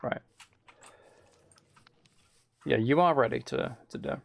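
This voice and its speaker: A man's voice: medium pitch, slow pace, creaky, no room sound. A man live streaming, giving casual gameplay commentary and musing aloud as he chats to viewers.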